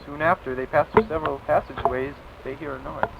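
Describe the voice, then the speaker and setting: A man's voice: a little high, fast talker, gravelly, muffled recording, very noisy. A man reading scripted narration for a homemade horror adventure tale, with music playing over him. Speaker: narrator and reader of all parts. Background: loud music.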